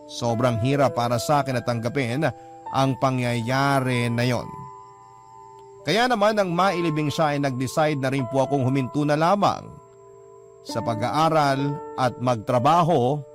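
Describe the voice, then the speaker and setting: Male actor as grieving orphan telling their sad life story radio drama style, solemn n sentimental, mid pitch, guitar music behind. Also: close to an everyday voice. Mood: sorrowful, resigned. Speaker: letter sender narrating a life story.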